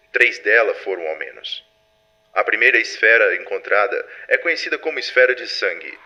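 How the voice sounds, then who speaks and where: A man's voice: on the low side, pushed and bright, highs cut off, heavily acted. A Brazilian man playing a composed, authoritative superintendent of a secret organization in an audio drama. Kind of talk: formal scripted archive recording, audio drama. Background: music.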